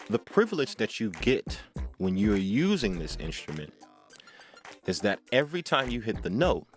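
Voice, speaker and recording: A man hosting an informal music tutorial, speaking in emphatic, deliberate phrases and often checking in with rhetorical tag questions. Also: fairly low pitch. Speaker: music tutorial host, vocalist and producer. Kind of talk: informal instructional talk to camera.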